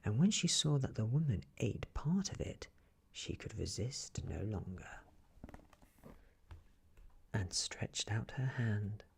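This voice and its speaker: Very husky, hushed male ASMR storyteller. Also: fairly low pitch, very animated, slow pace, long pauses. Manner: gentle, hushed, often slipping into whisper. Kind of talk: soft-spoken ASMR fairy tale reading.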